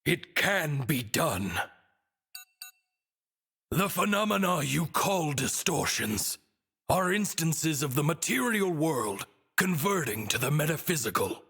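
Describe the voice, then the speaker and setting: A man playing a lofty, amused godlike being in a video game cutscene, speaking in grand, deliberate, archaic phrases. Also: on the high side, very animated, sharp tone, breathy edge, exaggerated voice. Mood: solemn, imperious. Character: lofty, ancient male godlike being granting wishes, amused and formal.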